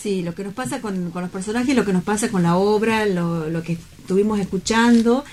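Woman, Argentine accent, medium pitch, casual discussion host, reflective, frequent tag questions. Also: fairly quick, darkish tone. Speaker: host of a round-table radio program. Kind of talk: casual round-table discussion.

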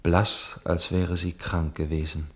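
An actor playing a tormented young gentleman in love in a German radio play, speaking earnestly and formally. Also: deep voice, level tone, takes it slowly, slightly rough, exaggerated voice. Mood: anguished. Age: young adult. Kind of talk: German radio play acting, dialogue and narration.

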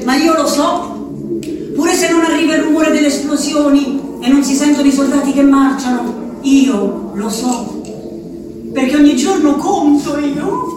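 Very high-voiced, anguished Abruzzese asylum inmate. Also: female voice. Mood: secretive, uneasy. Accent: central-southern Italian, Abruzzese. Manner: rustic, halting, tagging phrases with "eh". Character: anguished peasant wife shut in an asylum, pleading. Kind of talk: theatrical monologue, letter spoken aloud. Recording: roomy, distant stage sound, faint noise.

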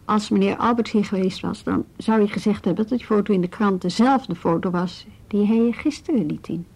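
An actress playing a calm, probing policewoman in a radio drama, questioning in a measured, businesslike way. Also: medium pitch, gravelly, breathy edge, rough recording. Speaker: police officer questioning a youth.

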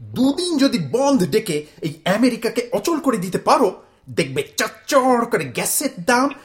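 অভিনেতার কণ্ঠে উঁচু গলার নাটকীয়, দাম্ভিক বাঙালি স্বামী. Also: male voice, extremely high pitch, very animated, measured pace, full character voice. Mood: fiery, rousing. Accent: Bengali. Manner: pompous, theatrical, declamatory. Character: blustering, self-dramatizing Bengali husband bent on grand protest. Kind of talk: comic stage-play acting.

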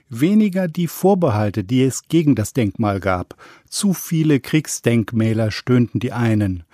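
A male radio reporter reading a scripted portrait narration in a composed, even manner. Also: mid-range voice, no room sound, studio quality.

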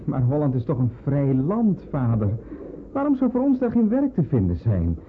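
An actor in a radio drama playing an optimistic, eager young son with an emphatic, buoyant way of talking. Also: male voice, fairly high pitch, big pitch swings, normal speed. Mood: eager, reassuring. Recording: tinny radio recording, faint noise.

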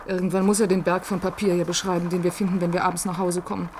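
A woman's voice: fairly low pitch, flat delivery, quick pace, a little mellow, slight background noise, natural voice. A woman playing a disillusioned, rebellious wife in a German science-fiction radio play, with a bitter, brooding, theatrical delivery. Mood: irritated.

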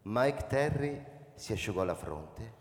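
A man's voice: fairly low pitch, expressive, slow pace. A man reading dramatic literary narration for a radio play, with theatrical, suspenseful phrasing. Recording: echoey hall, crowd noise behind.

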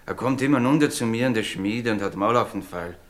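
An actor playing a hearty village blacksmith in a radio play, rambling colloquially. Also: male voice, lowish voice, brisk, close to an everyday voice. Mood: genial, rambling. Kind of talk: German radio play acting.

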